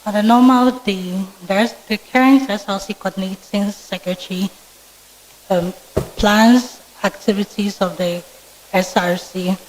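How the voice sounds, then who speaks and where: A soft-spoken young woman in her twenties with a Ghanaian English accent, giving polite, formal answers as a student candidate in a vetting interview. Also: mid-range voice, fairly even intonation, unhurried, a little hiss or hum, low-quality recording. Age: 20s. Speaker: student candidate being vetted. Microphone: microphone with feedback.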